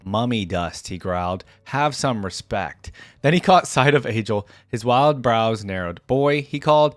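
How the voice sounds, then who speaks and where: A man with a medium-pitched voice speaks as an informal reaction video host. His delivery is enthusiastic and the recording is dry, with very little room sound. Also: no echo. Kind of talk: casual reaction commentary and story reading.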